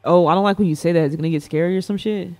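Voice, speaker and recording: A man's voice: sits high, very fast, a little mellow. A young man livestreaming a casual reaction, bantering playfully with chat in slangy, informal speech. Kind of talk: casual reaction stream commentary. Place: indoor room at a streaming setup. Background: faint background music.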